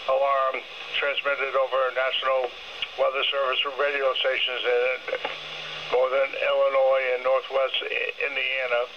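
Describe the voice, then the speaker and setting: A man reading a scripted test announcement as a weather radio announcer, formal and a little halting. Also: medium pitch. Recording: muffled, noisy weather radio speaker. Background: radio noise.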